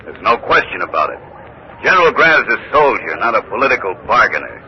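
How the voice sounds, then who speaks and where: Blunt army general, old muffled radio. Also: male voice, lowish voice, very animated, quick pace, slight background noise. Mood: blunt, defiant. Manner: clipped, forceful, certain. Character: blunt, hard-nosed male cavalry general, combative and proud. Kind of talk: 1940s radio acting.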